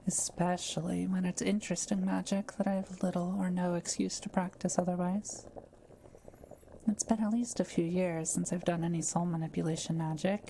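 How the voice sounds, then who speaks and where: A woman playing a kind, soft-spoken witch who loves solitude, speaking gently and reassuringly to the listener in an intimate roleplay audio drama. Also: lowish voice, vocal fry, slight background noise, rough recording. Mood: affectionate, gentle. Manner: gentle, caring, softly reassuring.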